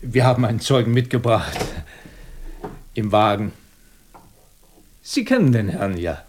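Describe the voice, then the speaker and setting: A male actor as a police inspector in a radio crime play, speaking standard German at a medium male pitch. He questions methodically and deliberately, with ironic courtesy and a shrewd edge. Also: a little mellow, a little hiss or hum, lightly acted. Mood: methodical, confident. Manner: probing, deliberate, ironically polite.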